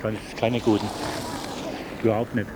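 Flat-toned man amid loud street noise. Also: fairly low pitch, moderate pace. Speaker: passer-by interviewed. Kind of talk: street interview answers. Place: outdoors. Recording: very loud outdoor traffic noise.

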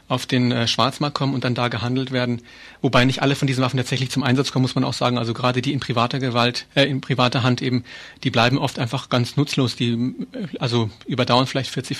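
A man explaining things informally in an interview, often rephrasing as he goes. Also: medium pitch. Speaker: interviewee, arms-trade researcher and activist.

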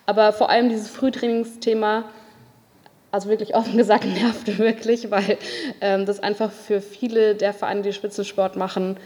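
A woman's voice: medium pitch, level tone, moderate pace, dark tone. A female local politician speaking off the cuff at a council meeting, insistent and frustrated, in a large reverberant room. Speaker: local politician, party group leader. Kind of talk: spontaneous speech in a council meeting.

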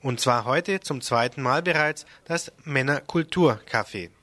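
A man on local radio reading out event listings in a relaxed, informal way. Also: mid-range voice, a little slow, no echo. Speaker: radio presenter.

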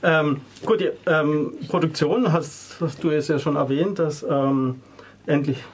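A man's voice: a little high, measured pace, a little hiss or hum. A man hosting a radio interview, talking with studio guests. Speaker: radio host.

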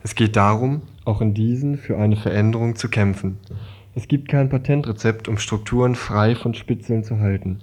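Male reader, low pitch, scripted delivery of a quoted statement. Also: moderate pace, darkish tone. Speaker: radio reader of a quotation. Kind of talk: scripted reading.

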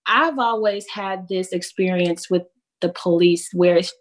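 Woman on a panel talking about her own experience, lowish voice, reflective. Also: a little slow. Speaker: panelist, lawyer. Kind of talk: reflective personal account.

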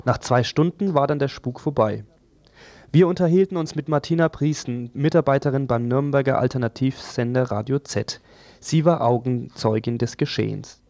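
A man's voice: medium pitch, normal speed, a little mellow, dry recording. A man reading a scripted radio news introduction as presenter.

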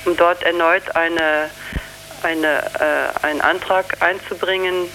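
A spokeswoman explaining in an interview, halting and searching for words. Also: lowish voice, fairly even intonation, fairly bright tone, slight background noise, rough recording. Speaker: campaign spokeswoman being interviewed.

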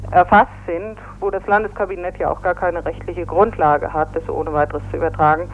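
A woman, a union press spokesperson, giving a measured, formal statement in a phone interview. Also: lowish voice, fairly even intonation. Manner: formal, measured, legalistic phrasing. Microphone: telephone. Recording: tinny phone line with faint hiss.

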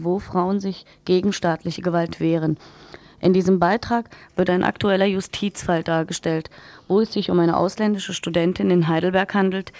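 A woman's voice: fairly low pitch, warm tone, no echo. A woman radio presenter reading news and programme announcements in an earnest, factual manner.

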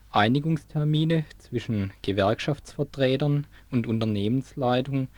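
A man delivers a scripted news report for radio. His voice is soft-edged and mellow, sitting at an ordinary male pitch. The sound is close and dry, with no sense of a room around him. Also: no room sound.

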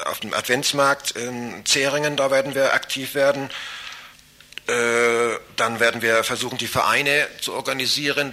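A man's voice: medium pitch, bright, forceful tone. A man activist talking informally in an interview, rambling and searching for his words. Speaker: campaign group activist interviewed.

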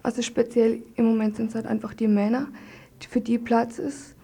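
A woman speaking as a guest in a radio studio discussion, putting her points across in plain, measured language. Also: a little high, level tone, vocal fry, mellow, husky. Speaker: studio guest, member of an activist group. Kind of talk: reading a letter and giving her view in a radio discussion.

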